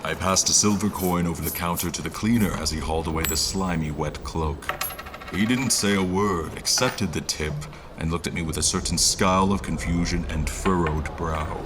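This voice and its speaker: A man acting as a weary noble traveler with a very low voice, telling his tale in an audio drama. His phrasing is formal and measured, and clear background ambience runs behind him. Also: noisy background, natural voice. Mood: measured narration. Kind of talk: scripted first-person story narration.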